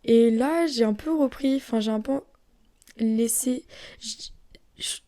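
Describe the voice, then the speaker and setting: A young woman from France chatting casually and rambling on her own podcast, often dropping into soft whispery asides. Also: fairly high pitch, takes it slowly. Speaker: podcast host. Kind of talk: casual solo podcast chat.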